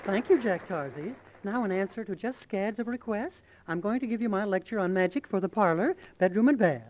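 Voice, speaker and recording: Gushing, swooping society matron. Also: male voice, high voice, lively intonation, a little fast, darkish tone, a little hiss or hum. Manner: cutesy, pompous lecturing with comic gushing. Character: gushing, self-satisfied society matron windbag hosting a ship's concert. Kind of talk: 1930s radio comedy acting. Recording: muffled 1930s radio recording.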